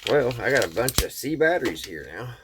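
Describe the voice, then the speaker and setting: A man's voice: mid-range voice, very animated, brisk, background noise. A man hosting a casual hands-on vlog, talking to viewers in a folksy way and thinking aloud as he works. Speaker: vlog host.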